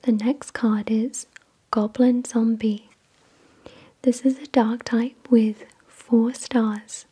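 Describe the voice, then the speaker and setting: Breathy whispering woman, slow and soft. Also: on the high side, flat delivery. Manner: measured, repetitive, gentle reading. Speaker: ASMR host. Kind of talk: whispered ASMR card unboxing, scripted reading.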